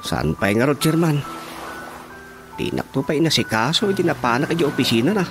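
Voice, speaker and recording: A man's voice: on the high side, big pitch swings, normal speed, music in the background. A man with an Ilocano accent playing an eager, boastful village councilman in a radio drama comedy. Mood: earnest.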